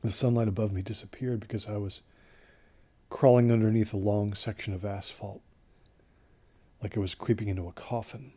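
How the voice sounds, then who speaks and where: A man acts out a delusional, wounded survivor in an audio horror drama. His voice is low and slightly husky. He recounts his ordeal in halting, detached phrases that often drop toward a hush. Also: steady tone. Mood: eerie, secretive. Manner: halting, detached, with hushed near-whispered phrases. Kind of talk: first-person audio horror drama monologue.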